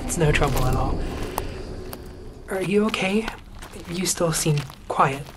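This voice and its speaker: A woman playing a gentle, awkward, loyal schoolboy in an audio drama, soft-hearted and hesitant in manner. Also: sits low, animated, measured pace, soft background music. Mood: gentle, amused.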